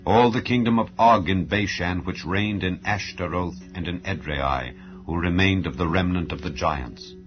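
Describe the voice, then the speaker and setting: A man with a very low voice reads scripture in a solemn, formal style. His phrasing is measured and declamatory, as a Bible narrator's. The recording is somewhat muffled and lo-fi, with background music under the voice. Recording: dull lo-fi audio under music.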